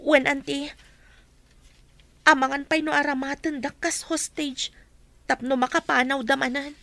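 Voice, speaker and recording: Woman acting a high, bright, wronged young woman. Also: steady tone, unhurried, creaky, no echo, full character voice. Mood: worried, subdued. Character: wronged younger woman, hurt and demanding justice. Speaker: actress in an audio drama. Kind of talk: Ilocano radio drama acting.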